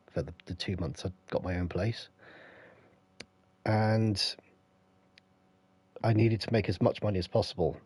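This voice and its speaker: An English man with a croaky voice, feeling unwell, rambling through stories while greeting his live stream chat on a headset. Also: sits low, darkish tone, dry recording. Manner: rambling, anecdotal, stops to greet viewers. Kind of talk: casual storytelling to live chat.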